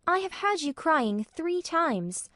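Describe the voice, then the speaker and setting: Woman narrator as a ten-year-old girl, high, hesitant and careful. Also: very animated, slow pace, fairly bright tone, no echo, clean studio sound, exaggerated voice. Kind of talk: audiobook character voice.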